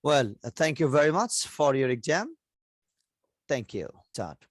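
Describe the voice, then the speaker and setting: A teacher acting as examiner, asking mock exam questions in a measured way with fillers. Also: mid-range voice, very animated, no echo, clean studio sound.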